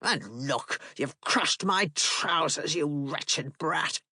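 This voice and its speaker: A woman's voice: low voice, expressive, slow pace, bright, forceful tone, slightly rough, dry recording, studio quality, voice pushed down, heavily acted. A woman voicing a cold, contemptuous aristocratic father who talks in a harsh, sneering way.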